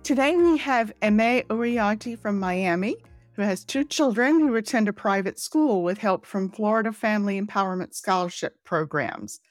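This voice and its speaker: A woman's voice: medium pitch, expressive, normal speed, dry recording, studio quality, faint music behind. A woman working as an interviewer, putting questions to a guest on a podcast. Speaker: interviewer, senior writer.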